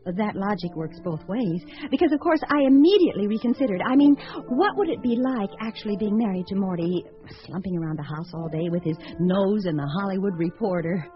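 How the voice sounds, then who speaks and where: An actress as a wry, swooping, chatty entertainer. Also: female voice, medium pitch, animated, a little fast, hardly any pauses, lightly acted. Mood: wry musing. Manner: wry, fluttery, theatrical. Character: wry, chatty female entertainer musing on failed proposals. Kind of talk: radio drama acting with narrated asides. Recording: dull lo-fi radio sound, faint music.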